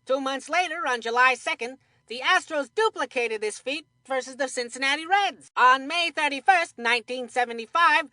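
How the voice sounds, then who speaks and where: A woman's voice: high-pitched, normal speed, hardly any pauses, pushed and bright, no echo, studio quality. A woman voicing a folksy cartoon baseball commentator for a kids' game, telling trivia in a chatty storytelling way. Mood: folksy, amused. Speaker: sports commentator.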